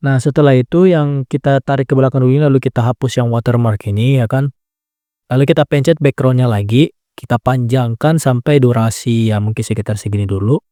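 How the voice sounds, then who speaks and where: A man giving a relaxed, step-by-step phone app tutorial, talking casually and often checking in with the viewer. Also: medium pitch, brisk, no echo, clean studio sound. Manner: relaxed step-by-step explaining, frequent "nah" and "ya kan" tags. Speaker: tutorial creator.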